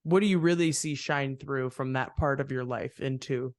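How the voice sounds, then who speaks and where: A man hosts a relaxed interview with a musician, his mid-range voice carrying a gravelly texture. He muses at length and wanders through his thoughts, recorded close and dry with no room sound. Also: medium pitch, moderate pace, no room sound. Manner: reflective, rambling with fillers. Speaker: podcast host. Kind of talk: casual interview chat.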